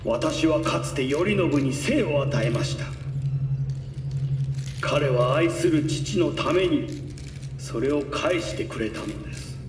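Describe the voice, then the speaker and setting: Man playing proud imperious magnate, formal address, higher-pitched, game cutscene, far from mic, noisy. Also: animated, moderate pace, pauses often, natural voice. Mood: solemn, proud. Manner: formal oratory. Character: solemn imperious tycoon reborn in his son's body. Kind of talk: video game voice acting of a public speech. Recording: echoey, distant, noisy speech.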